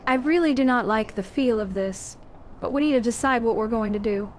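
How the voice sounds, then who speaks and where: A woman's voice: a little high, brisk, slight background noise, close to an everyday voice. A woman voicing a blunt, suspicious female warrior in a video game, direct and questioning.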